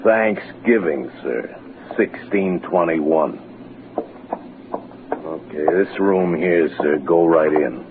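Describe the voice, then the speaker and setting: An actor playing a dour, formal manservant, polite and dryly resigned, in a 1940s radio drama. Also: male voice, rumbling low, takes it slowly, pauses often, exaggerated voice. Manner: polite, deadpan. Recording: noisy, muffled 1940s radio recording.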